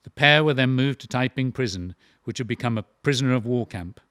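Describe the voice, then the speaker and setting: A man's voice: mid-range voice, brisk, fairly bright tone, no room sound, studio quality. A man narrating a historical audio drama from a script, in documentary style.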